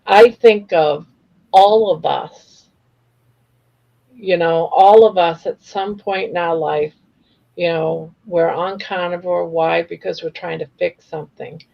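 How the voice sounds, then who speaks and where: A woman in her sixties with a gravelly, crackling voice. She talks in a candid, heartfelt way, like a casual chat among friends. The audio is a little dull and lo-fi. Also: lowish voice, fairly even intonation, slow. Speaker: host of a live crochet chat panel. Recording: slightly dull, lo-fi livestream audio.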